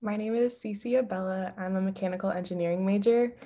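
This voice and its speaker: Young woman, from Southern California, quick, flat delivery, mellow low voice. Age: young adult. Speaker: orientation leader. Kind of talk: casual panel advice.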